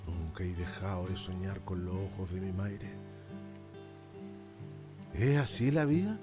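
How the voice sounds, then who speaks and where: An old, embittered islander in a radio play. His voice sits low and speaks plainly, with grief and deference. Soft guitar music plays behind him. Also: measured pace. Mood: grieving, bitter. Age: older. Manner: plain, mournful, deferential speech. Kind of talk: radio drama acting.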